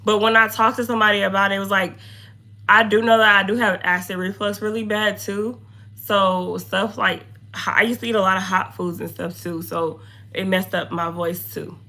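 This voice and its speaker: A young woman with a hoarse voice chatting casually with her viewers while reading their comments. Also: fairly even intonation, moderate pace, sharp tone. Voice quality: low, slightly deep voice. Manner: chatty, talks to viewers, drifts off topic. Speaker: makeup streamer.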